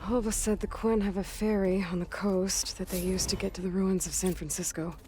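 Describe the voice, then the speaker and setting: A woman's voice: mid-range voice, steady tone, brisk, a little mellow, a little hiss or hum, lightly acted. A woman playing a determined, practical young adventurer in a video game cutscene, speaking earnestly and with purpose. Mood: resolute. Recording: long reverb, music underneath.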